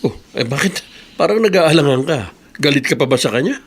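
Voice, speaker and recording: An actor playing a kindly, generous middle-aged boss, consoling and calm with quiet authority in a radio drama. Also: male voice, a little high, fairly quick, slightly rough. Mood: concerned. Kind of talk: Tagalog radio drama acting. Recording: radio drama with audible background noise.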